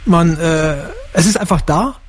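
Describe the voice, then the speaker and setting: Man, high voice, radio guest, relaxed, short answers, laughs easily. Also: a little slow. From Germany. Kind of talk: radio interview.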